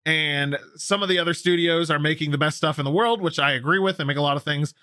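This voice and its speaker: A man's voice: fairly high pitch, fast talker, sharp tone, no room sound, studio quality. A man co-hosting a gaming news show, talking casually and emphatically, repeating himself for effect and swearing freely. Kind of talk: casual news commentary with co-host.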